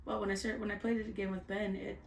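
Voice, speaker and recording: A woman with a Canadian accent chats about board games in a loose, candid way, sprinkling "like" through her sentences. Her voice sits at a middle pitch. The recording has a roomy echo. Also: flat delivery, fast talker, creaky, a little hiss or hum. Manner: chatty, candid, lots of "like" fillers. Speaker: co-host of a board game review show. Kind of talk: casual chat.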